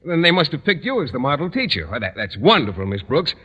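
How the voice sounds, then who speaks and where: Bright-voiced bashful man teacher. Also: on the high side, very animated, fast talker, husky, muffled recording, full character voice. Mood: earnest. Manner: hesitant, shy, politely formal. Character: bashful, earnest, awkward man biology teacher. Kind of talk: 1940s radio situation comedy acting. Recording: reverberant 1940s radio recording.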